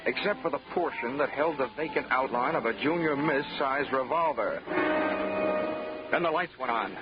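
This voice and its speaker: A hard-boiled male investigator played by an actor with a medium-pitched voice. He is dry, sardonic and clipped, wisecracking through a muffled 1940s radio drama with music. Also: moderate pace, close to an everyday voice. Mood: wry narrating. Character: wry, hard-boiled male insurance investigator narrating his case.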